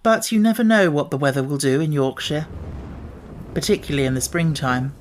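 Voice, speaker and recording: A man reading an audiobook as narrator, telling the story in a measured, expressive way. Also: a little high, slight background noise.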